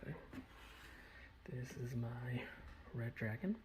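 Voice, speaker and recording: A man speaking in a breathy whisper, presenting his hobby in a casual, rambling ASMR style. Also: takes it slowly, background noise. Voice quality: breathy whisper with soft low speech. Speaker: hobbyist miniature painter presenting his collection. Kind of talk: whispered ASMR show-and-tell.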